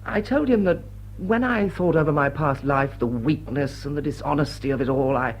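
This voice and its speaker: An actor playing a smitten English aristocrat in a radio play, with an upper-class accent and an urbane, drily witty, tender manner. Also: male voice, a little high, normal speed, rough recording. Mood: wry confessing. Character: smitten, wry English aristocrat lord wooing a woman. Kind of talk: British radio play acting.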